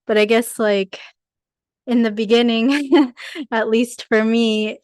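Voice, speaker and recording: Student woman, tentative, smooth voice, measured pace. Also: fairly high pitch, fairly even intonation, darkish tone, dry recording, studio quality. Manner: tentative, many "like" and "I guess" fillers. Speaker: student in the class. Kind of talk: answering in a class discussion.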